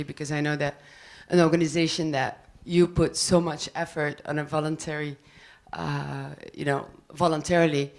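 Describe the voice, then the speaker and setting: Deep-voiced woman asking from the floor. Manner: earnest, searching for words. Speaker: audience member asking a question. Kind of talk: question from the floor at a live panel. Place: cafe of an arts venue. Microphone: handheld microphone.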